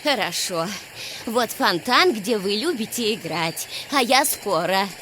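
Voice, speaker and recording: A woman voicing a gentle, motherly cat minding her kittens in a children's cartoon. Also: medium pitch, lively intonation, unhurried, background noise, lightly acted. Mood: reassuring.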